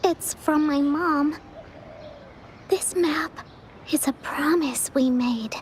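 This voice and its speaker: A woman's voice: sits high, slow, a little hiss or hum. A woman voicing a timid young girl who stammers, in a video game. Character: shy young girl with a secret map. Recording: game audio with faint music.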